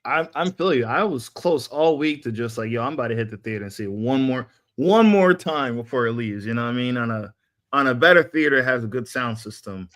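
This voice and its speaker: A man's voice: mid-range voice, animated, a little fast, fairly bright tone. Casual, slangy male podcast host. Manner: slangy, hype-man phrasing. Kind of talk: casual group chat.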